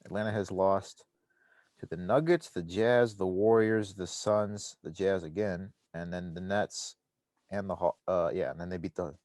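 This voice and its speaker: A young man co-hosting a casual sports podcast, easygoing and quick to laugh, running through stats loosely. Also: low-pitched, steady tone, a little mellow, dry recording. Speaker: podcast co-host.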